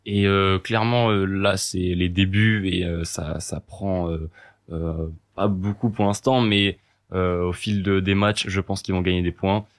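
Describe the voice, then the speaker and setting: A man's voice: low-pitched, level tone, a little slow. A young man on a student radio sports panel, talking with lots of hesitations and fillers. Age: young adult. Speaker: sports columnist.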